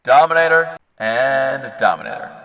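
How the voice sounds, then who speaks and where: A man playing an excitable video game race announcer, calling out in emphatic, punchy bursts that swing from flat to shouted. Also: mid-range voice, normal speed. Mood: excited, hyped. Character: excitable male demolition derby race announcer. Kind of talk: arena-style race announcer name calls. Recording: dull audio with long hall reverb.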